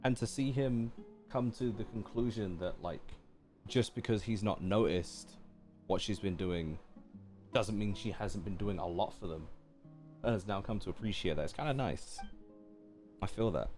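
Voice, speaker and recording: Casting: male streamer, lowish voice, laid-back and slangy reaction chat, faint game music behind. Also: moderate pace, long pauses, a little mellow. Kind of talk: casual live reaction commentary.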